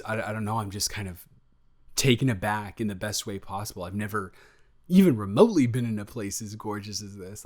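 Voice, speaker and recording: A man playing an excited, affectionate boyfriend on vacation in an improvised roleplay audio, rambling and stammering playfully. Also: medium pitch, natural voice. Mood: awed, tender.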